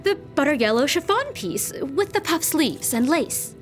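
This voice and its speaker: An actress playing a playful, imperious young aristocratic fiancée in an audio drama, effusive and teasing. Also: female voice, high voice, big pitch swings, unhurried, soft background music. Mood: breezy, teasing.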